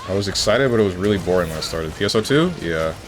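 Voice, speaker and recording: A man streaming a video game, chatting casually with his viewers and joking around, with bursts of mock excitement. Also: deep voice, quick pace, noisy background. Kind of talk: casual live-stream chat while gaming. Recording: game music playing underneath.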